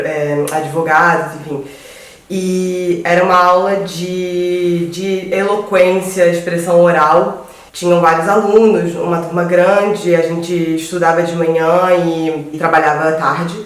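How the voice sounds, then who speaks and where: A Brazilian woman in her thirties telling a story to friends, halting and often repeating words as she searches for phrasing. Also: on the low side, distant mic. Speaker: guest. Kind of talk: casual storytelling among friends. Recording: very echoey room, voice from afar.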